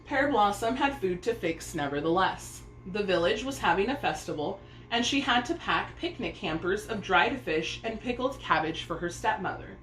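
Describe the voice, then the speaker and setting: A woman's voice: mid-range voice, animated, normal speed, hardly any pauses, fairly bright tone. A woman reading a children's story aloud, narrating expressively in a room with echo and faint noise. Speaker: storybook reader.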